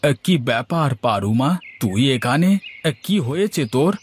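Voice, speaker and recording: An actor playing an inquisitive village uncle, a familiar neighbour, in a moral-story cartoon. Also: male voice, mid-range voice, expressive, moderate pace, a little hiss or hum, natural voice. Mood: curious.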